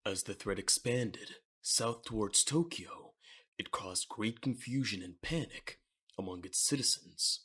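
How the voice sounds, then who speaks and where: Male narrator for manga audio drama, low register, rough-edged husky tone, dramatic scripted read. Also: bright, forceful tone.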